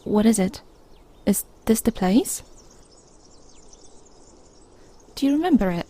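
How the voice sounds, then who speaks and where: A woman playing a bubbly, supportive starship crewmate in an audio drama, husky and reassuring with a playful streak. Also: medium pitch, expressive, moderate pace, mellow, close to an everyday voice. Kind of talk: audio drama acting, casual chat.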